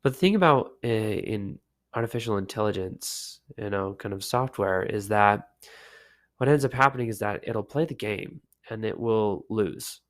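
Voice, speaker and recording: A man hosting a casual solo podcast, sick with a lowered, deep voice, talking in a relaxed, rambling way with many fillers. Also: moderate pace. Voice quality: deep, low voice. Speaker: podcast host.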